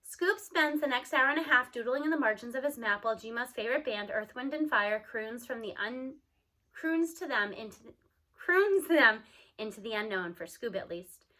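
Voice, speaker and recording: A woman reading a storybook aloud as narrator, expressively, with an animated manner for young listeners. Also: on the high side, moderate pace. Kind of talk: expressive storybook read-aloud with character dialogue.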